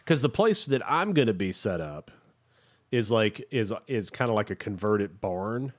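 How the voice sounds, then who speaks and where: A man co-hosting a podcast in casual chat, rambling and halting as he searches for words. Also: fairly low pitch, very animated. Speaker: podcast co-host.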